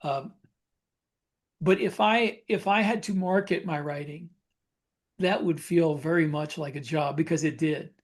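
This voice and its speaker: A man's voice: fairly high pitch, a little slow, pauses often. A man co-hosting a podcast in casual chat, thinking aloud in a reflective, conversational way. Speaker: podcast co-host and writer.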